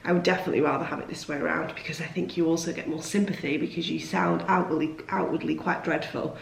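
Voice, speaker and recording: A woman's voice: deep voice, steady tone, fast talker, gravelly, roomy sound, slight background noise, rough recording. A young woman who has lost her voice after a cold, chatting casually and rambling to camera from home. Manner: chatty, rambling, self-deprecating. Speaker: vlogger. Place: at home, indoors.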